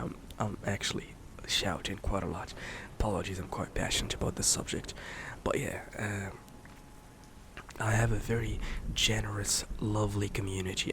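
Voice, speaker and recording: A man in his late twenties, a streamer rambling in a soft-spoken, candid way, swearing casually and slipping into whispers. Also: on the low side, noisy background. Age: 20s. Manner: candid, swears casually, many fillers and restarts; drifts between soft speech and whisper.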